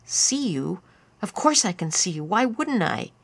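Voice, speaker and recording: A woman voicing a frightened mother who gives sharp, urgent orders. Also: mid-range voice, big pitch swings, a little slow. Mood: alarmed stern. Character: frightened mother, stern and anxious.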